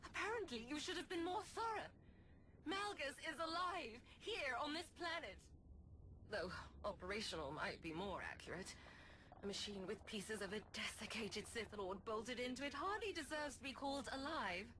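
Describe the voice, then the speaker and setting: Haughty Sith villainess, sharp and theatrical. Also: female voice, on the high side, expressive, normal speed, slight background noise. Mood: smug, lecturing. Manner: pompous, disdainful, theatrical, ornate speech. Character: haughty, scornful female Sith lord, imperious sci-fi villain. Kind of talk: video game cutscene acting.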